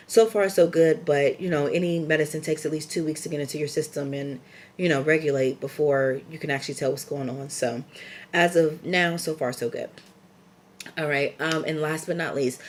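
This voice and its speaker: A young woman with a scratchy, raspy voice from a lingering cold, talking casually in a rambling vlog update full of ums and asides. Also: sits low. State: recovering from a cold. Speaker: vlogger.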